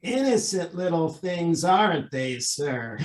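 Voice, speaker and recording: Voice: mid-range voice, big pitch swings, slow. An actor playing a cynical police sergeant in a radio drama, recorded with a roomy echo. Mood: matter-of-fact, pleased. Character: cynical, unhurried police sergeant, inspector's sidekick. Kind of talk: old-time radio drama acting. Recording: roomy, echoing sound.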